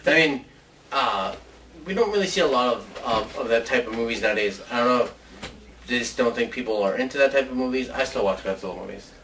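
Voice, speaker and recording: Male co-host, mid-pitched, loose conversational delivery, distant mic, faint noise behind. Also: lively intonation, a little fast, a bit bright. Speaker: co-host of a video show about movie ideas. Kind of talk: casual chat.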